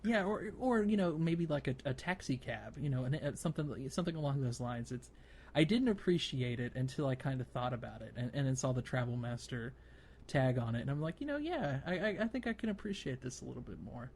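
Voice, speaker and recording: A man co-hosting a casual gaming podcast, talking in a halting, rambling way with repeated words. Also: medium pitch, expressive, gravelly, a little mellow, slightly rough. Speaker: podcast co-host.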